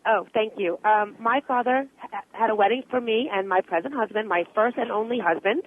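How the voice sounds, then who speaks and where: A woman's voice: on the high side, fairly quick, close to an everyday voice. A performer playing an earnest, indignant married woman who is insistent and righteous as a caller on a radio comedy show. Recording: thin telephone line.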